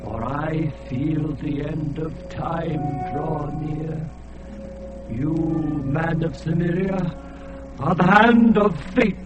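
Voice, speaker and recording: An ancient, blinded alien god in an old radio play. He sings out his grief in a high, slow and solemn chant, and faint music plays under him. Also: muffled recording. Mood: sorrowful, solemn. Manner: slow, grave, chanting delivery. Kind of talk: radio drama acting.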